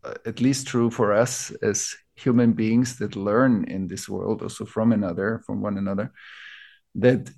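A man from Austria with an Austrian accent, a native German speaker, explaining ideas reflectively as an interview guest, building his thoughts in short, halting phrases. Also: fairly low pitch, fairly even intonation, vocal fry, a little mellow. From Vienna, Austria. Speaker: interview guest, teacher of collective trauma healing.

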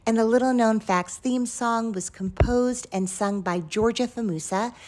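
A woman's voice: a little high, unhurried, a little mellow. Effusive woman hosting a podcast. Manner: effusive, appreciative. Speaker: podcast host. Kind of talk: warm-worded interview chat and show sign-off.

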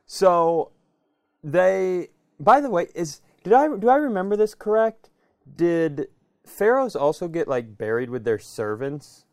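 A man's voice: high voice, very animated, measured pace, dry recording, studio quality. A man co-hosting a comedy podcast, telling stories casually and riffing in a conversational way. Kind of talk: casual comic storytelling.

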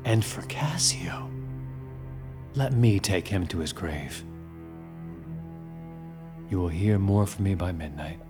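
A cunning, manipulative ensign in a classical tragedy. He speaks in an insinuating, persuasive way with lively swings of pitch, and music plays clearly behind him. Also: takes it slowly, long pauses. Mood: cold plotting. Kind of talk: dramatic Shakespeare acting.

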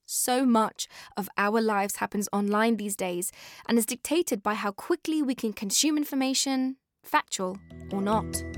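A British woman narrating a scripted podcast as host, in an expressive, conversational way that speaks straight to listeners. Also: fairly high pitch. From born in Afghanistan, moved to the UK.

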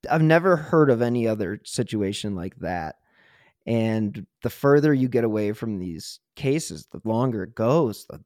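A man hosts a casual true-crime podcast in a very dry, close recording. His voice sits at an average male pitch. He talks emphatically and can turn drily sarcastic. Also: a little mellow, no room sound. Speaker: podcast host.

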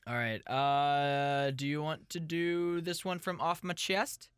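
A man's voice: fairly high pitch, level tone, takes it slowly, clear, resonant tone, no echo, studio quality. A man co-hosting a podcast, casual comedic chat with silly improvised bits. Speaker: podcast co-host.

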